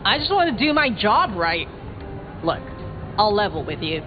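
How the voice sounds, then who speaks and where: A woman's voice: medium pitch, big pitch swings, moderate pace, natural voice. An actress playing a brash, sarcastic young female vampire in a video game, a scrappy small-town newcomer who talks in slangy, sardonic, sweary bursts. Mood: frank, frustrated. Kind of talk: video game character dialogue. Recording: dull game audio under clear music.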